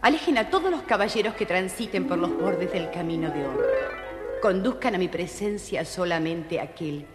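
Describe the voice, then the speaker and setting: Woman playing a low-voiced, regal princess. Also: animated, moderate pace, over loud music, natural voice. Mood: commanding. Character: regal, commanding young princess. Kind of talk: children's audio storybook acting. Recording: echoey hall reverb.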